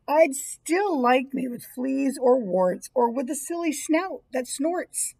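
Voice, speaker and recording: A woman's voice: high voice, lively intonation, takes it slowly, gravelly, a little mellow. A woman in her fifties reading a children's picture book aloud as a teacher would. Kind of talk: children's storybook read-aloud. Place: small indoor school room or library.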